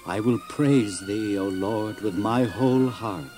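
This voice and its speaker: An actor playing a gentle, patient, devout prophet and leader in an earnest, deliberate audio drama style. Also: male voice, lowish voice, soft background music, close to an everyday voice. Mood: reverent praise.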